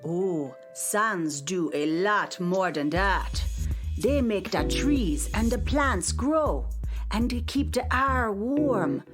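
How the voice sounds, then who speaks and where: A gentle, folksy caretaker. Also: female voice, mid-range voice, expressive, takes it slowly, over music. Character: kindly nursing home caretaker woman. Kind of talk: audio drama acting.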